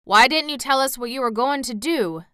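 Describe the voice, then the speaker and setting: A woman voicing an old male farmer who shouts folksy exclamations in alarm, in a storybook reading. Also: high voice, sing-song, normal speed, no echo, clean studio sound, pitched above the performer's own voice, exaggerated voice. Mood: reproachful. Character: elderly male farmer, gruff and flustered.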